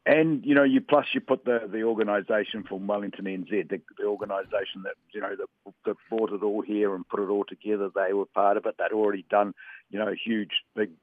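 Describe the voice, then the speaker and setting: A man with a lowish voice calls in over a thin, tinny phone line that sounds dry and close. He rambles through casual sports talk, hesitating and restarting with lots of fillers. He grew up in Western Samoa. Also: steady tone, brisk, dry recording.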